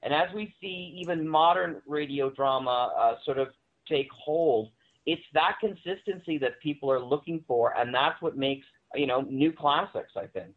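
A man's voice: on the high side, moderate pace. A man from Canada talking conversationally as a podcast guest. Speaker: podcast guest, audio drama writer-director. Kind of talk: conversational roundtable discussion. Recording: thin, phone-like band-limited line.

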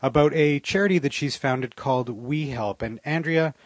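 Male interview host, Canadian accent. Medium pitch, informal, engaged, conversational questioning. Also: moderate pace.

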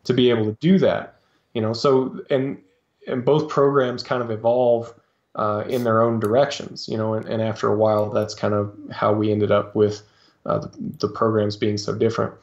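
Man, coach, podcast guest, halting with fillers, slightly muffled. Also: fairly low pitch, little pitch movement, a little mellow. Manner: hesitant, many fillers and restarts. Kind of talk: casual interview talk. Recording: slightly dull lo-fi sound.

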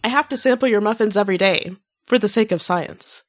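A woman's voice: mid-range voice, fairly quick, dry recording, clean studio sound. A woman co-hosting a podcast, chatting casually and reading lines in a playful, giggly way. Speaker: podcast co-host. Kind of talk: casual chat and comic fan fiction reading.

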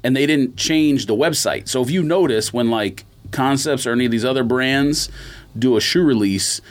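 A man's voice: medium pitch, moderate pace. A man co-hosting a podcast in casual chat, explaining things in an emphatic, opinionated way. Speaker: podcast co-host.

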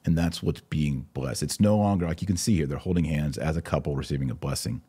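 A man with a very low, dark voice that has a husky grain to it. He talks as a commentary host, opinionated and emphatic, repeating key phrases as he responds to comments. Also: fast. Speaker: podcast host and religious commentator. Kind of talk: live commentary answering viewer comments.

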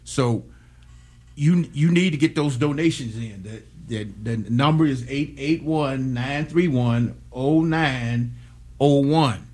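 An American man volunteering at a community radio station, speaking earnestly and plainly with frequent pauses. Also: mid-range voice, darkish tone. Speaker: station volunteer and commentary writer. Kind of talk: casual on-air chat.

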